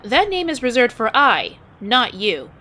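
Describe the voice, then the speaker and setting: Casting: a woman as curt, reserved young female inspector for anime audio drama, fairly high, expressive pitch. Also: a little slow, heavily acted. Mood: curt, irritated. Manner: polite but terse, dry. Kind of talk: anime-style fan audio drama acting.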